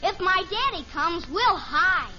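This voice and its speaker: High-pitched little American boy, old radio. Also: sing-song, takes it slowly, pushed and bright, soft background music, exaggerated voice. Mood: wistful, earnest. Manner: childlike, plain-spoken, eager. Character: earnest, lonely little boy eager to play. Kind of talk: 1950s radio sitcom acting. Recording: muffled, very noisy vintage radio recording.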